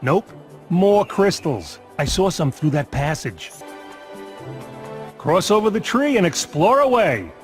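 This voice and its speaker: Cheeky cartoon critter, male: high, animated, coaxing, light music behind. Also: slow pace, long pauses, exaggerated voice.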